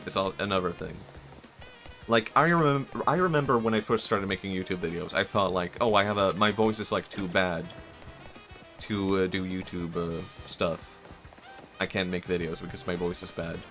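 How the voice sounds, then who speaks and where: A man's voice: sits low, vocal fry. A young man streaming live, talking casually and hesitantly, with many fillers, restarts and self-mocking asides. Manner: self-mocking, laughs softly. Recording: faint music behind.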